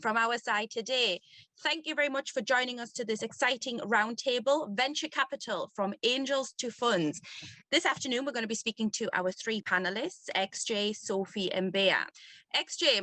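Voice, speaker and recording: A woman's voice: a little high, moderate pace, pushed and bright, dry recording, rough recording. A young woman moderating a roundtable on a video call, upbeat and polite. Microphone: laptop webcam on a video call.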